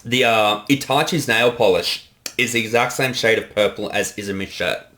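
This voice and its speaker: Male director, lowish voice, casual halting creator commentary. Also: pushed and bright. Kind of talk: casual behind-the-scenes commentary.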